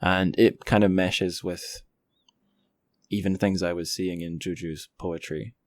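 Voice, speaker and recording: A man with a low-pitched voice hosts a casual interview. He talks thoughtfully, feeling his way hesitantly toward each point. The sound is dry, with very little room in it. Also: steady tone, measured pace, dry recording. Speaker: podcast host interviewer.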